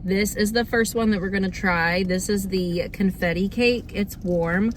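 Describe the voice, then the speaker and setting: A young woman with a slightly hoarse, under-the-weather voice, chatting casually and informally as she hosts a food review vlog. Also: mid-range voice, unhurried, a little hiss or hum. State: slightly hoarse, getting over a cold. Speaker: host of a cookie review video series.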